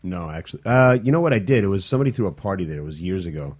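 A man with a deep voice talks casually. His tone is mellow and relaxed, in an offhand radio conversation. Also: very fast. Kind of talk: casual chat.

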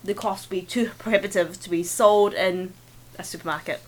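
A woman with a New Zealand accent co-hosting a podcast, playful and teasing with deadpan jokes. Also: fairly low pitch, fairly quick, low-quality recording. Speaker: podcast co-host. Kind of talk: casual podcast banter.

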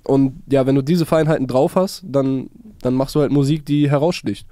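A man co-hosting a casual music show, praising beats and producers in a relaxed, slangy way. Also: medium pitch, moderate pace, no room sound, clean studio sound. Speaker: podcast co-host. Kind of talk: casual music review chat.

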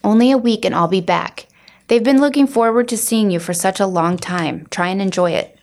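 A woman voicing a caring father with a gentle, reassuring manner in a children's storybook. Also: mid-range voice, a little fast, close to an everyday voice. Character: caring, apologetic father of a young girl.

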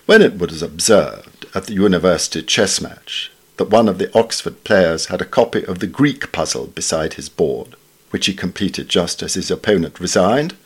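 A man giving a measured, formal scripted reading of witty literary essays. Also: sits low, breathy edge. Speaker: reader of newspaper essays.

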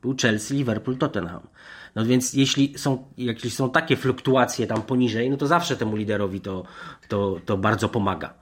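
A man's voice: medium pitch, slightly rough. A man discussing football as a panel pundit, unscripted and wordy, often breaking off and restarting his sentences. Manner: wordy, digressive, halting with repeated restarts.

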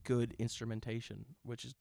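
Male podcast co-host, mid-pitched, casual and playful, dry recording. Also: completely flat delivery, moderate pace, gravelly, mellow, no room sound. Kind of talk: casual banter between co-hosts.

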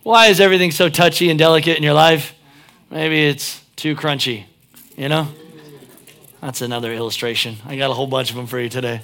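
Joking, emphatic pastor with a drawl. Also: male voice, fairly high pitch, fairly even intonation, fairly quick, fairly bright tone. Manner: conversational and joking, rising to emphatic peaks. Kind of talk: sermon.

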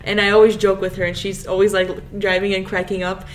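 A woman's voice: mid-range voice, level tone, fairly quick, low-quality recording. Young woman guest, laid-back and teasing. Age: young adult. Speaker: podcast guest. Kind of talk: casual chat.

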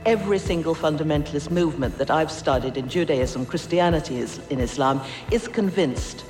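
Higher-voiced man explaining over faint music. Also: a little fast.

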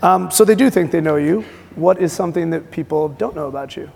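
A man with a raspy voice, interviewing a guest on a conference stage and restarting his phrases as he goes. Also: fairly high pitch, a little fast, darkish tone. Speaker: interviewer, venture investor. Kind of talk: onstage interview questions. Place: conference stage in a large hall. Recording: long hall reverb.

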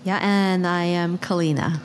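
A woman's voice: on the low side, fairly even intonation, a little slow, slight background noise. A woman from Malaysia with a Malaysian accent, a coffee shop co-founder speaking as a guest, laughing and self-deprecating. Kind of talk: casual interview.

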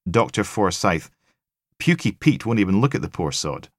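A man's voice: low voice, very animated, moderate pace, dry recording, studio quality. A male reader plays a weary female crime scene technician in an audiobook. She sounds blunt and grumbling, a worker who has seen too much. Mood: wry, grumbling.

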